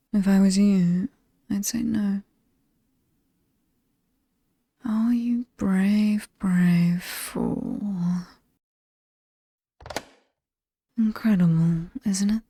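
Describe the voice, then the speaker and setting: Casting: actress as alluring, menacing British demoness for horror ASMR. Breathy half-whisper, dark and creaky, teasingly ominous. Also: female voice, mid-range voice, steady tone, slow, no room sound, clean studio sound, heavily acted. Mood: teasing, inviting. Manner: seductive, teasing, theatrically ominous, lingering on words. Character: alluring, sinister ageless demon woman showing off haunted mansion.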